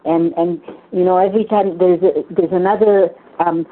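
An older woman whose first language is Hungarian speaks on a tinny phone line. Her voice sits a little low, and she talks haltingly and earnestly, repeating words as she shares. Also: fairly even intonation. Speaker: meeting participant sharing. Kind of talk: personal share in a phone recovery meeting.

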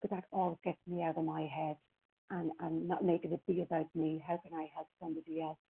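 A woman from Ireland sharing reflectively on a phone call to a recovery meeting, halting with many ums. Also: deep voice, flat delivery, vocal fry, breathy edge. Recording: phone line.

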